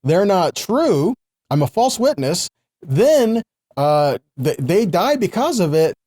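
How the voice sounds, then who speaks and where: Male religious teacher, mellow relaxed tone, dry close sound, conversational sermon, explanatory. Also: high-pitched, takes it slowly, no echo. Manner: explanatory, with short check-in questions to listeners.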